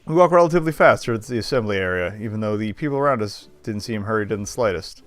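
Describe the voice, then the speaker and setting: A man's voice: on the low side, expressive, fast talker, dry recording. A male game streamer reading visual novel narration aloud, with wry, sardonic asides. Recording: faint music behind voice.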